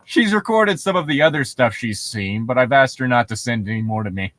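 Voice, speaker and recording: A man's voice: mid-range voice, lively intonation, brisk, a bit bright, no echo. A young adult man co-hosting in a casual, rambling, joking chat, swearing freely and prone to giggling. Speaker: podcast co-host reading and riffing on horror stories. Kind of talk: casual joking chat with bits of reading aloud.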